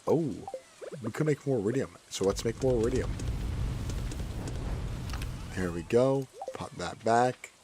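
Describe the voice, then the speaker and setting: A man with a hoarse, cracking voice chatting casually while playing a farming game, often repeating his own phrases. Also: medium pitch, expressive, a little slow, long pauses, warm tone, slight background noise. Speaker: gaming streamer. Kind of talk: casual gameplay commentary.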